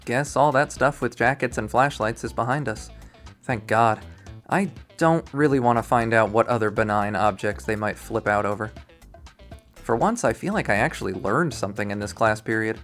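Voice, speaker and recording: A man reading a visual novel aloud as first-person narration, in a casual, expressive style. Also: fairly low pitch, normal speed, faint music behind. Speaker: visual novel readthrough host.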